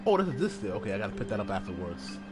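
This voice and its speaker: A man's voice: fairly low pitch, big pitch swings, rapid-fire, over loud music. A man streaming a video game, offhandly commenting and muttering to himself as he plays. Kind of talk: casual commentary over gameplay. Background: loud game audio.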